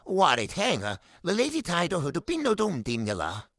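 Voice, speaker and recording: A woman's voice: on the low side, wildly swooping pitch, brisk, a bit bright, no room sound, clean studio sound, heavily acted. A woman playing a mean, emotional office boss in a radio drama, scolding and firing off accusatory questions. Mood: scornful, suspicious. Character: stingy, emotional, guilt-tripping office boss. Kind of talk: Cantonese workplace radio drama acting.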